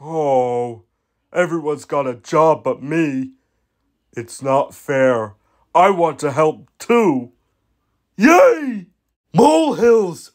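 A man voicing an eager, boastful, childlike steamroller in a children's cartoon, excitable and playful. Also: fairly high pitch, very slow, long pauses, heavily acted. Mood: excited, wistful. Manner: excitable, playful, makes engine noises. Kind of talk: animated children's show character acting.

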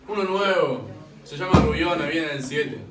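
A man's voice: on the high side, lively intonation, normal speed. A man with an Argentine accent playing a subdued young soldier hiding in a war dugout, in stage play dialogue. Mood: guarded, matter-of-fact. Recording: echoey distant room recording, clear noise.

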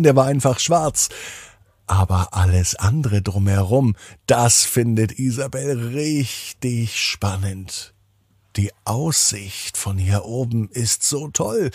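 A man's voice: mid-range voice, darkish tone, slightly rough, no echo, studio quality. A man hosting a children's podcast, narrating bedtime stories in simple, repeated phrases and speaking directly to young listeners. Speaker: podcast host and bedtime storyteller.

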